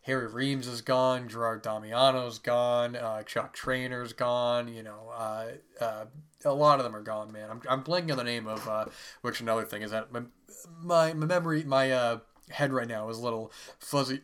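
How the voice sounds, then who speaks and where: A man's voice: mid-range voice, fairly even intonation, normal speed, fairly bright tone, clean tone. A man who is sick and stuffy, hosting a podcast and casually reviewing a book in a rambling way with many fillers. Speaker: podcast host.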